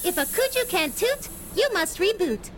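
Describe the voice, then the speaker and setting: Small robot companion for sci-fi comedy drama, extremely high and animated, literal, chipper. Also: female voice, normal speed, faint music behind, heavily acted. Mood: chirpy, informative. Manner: cheery, matter-of-fact recital of facts. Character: cheerful small puppy-cat robot companion, literal and chirpy.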